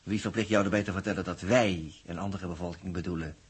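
A cocky, low, very husky space agent. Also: male voice, steady tone, quick pace. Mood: urging, coaxing. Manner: breezy, scornful, coaxing. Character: cocky, crafty space agent, bossy toward his partner. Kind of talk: 1960s science-fiction radio drama acting, colloquial.

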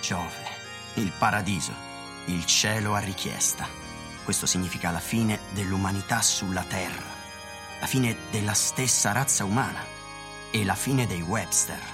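An actor playing a grave, burdened world president in a radio drama, speaking with a low, husky voice in a measured, solemn way. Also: male voice, music in the background. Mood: anguished, brooding.

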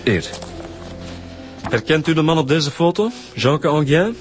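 An actor playing an easygoing male detective with a Flemish accent, calmly coaxing a witness in a radio drama. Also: fairly high pitch, measured pace, soft background music. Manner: calm, soothing.

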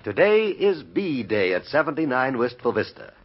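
Old timey radio announcer guy, husky, really lively intonation, muffled lo-fi recording. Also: mid-range voice, moderate pace, over loud music. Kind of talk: 1950s radio announcing, scripted.

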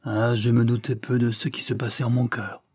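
A man's voice: medium pitch, flat delivery, normal speed, slightly rough, no room sound, close to an everyday voice. A man playing a despairing, lovesick lord. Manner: hushed, despairing. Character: anguished, lovesick older brother, a reserved lord.

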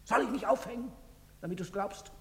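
A man's voice: sits high, fairly quick, gravelly. An actor playing a desperate, guilt-ridden middle-aged father in a theatrical drama, urgent and imploring. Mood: pleading. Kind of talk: theatrical radio drama acting. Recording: long hall reverb.

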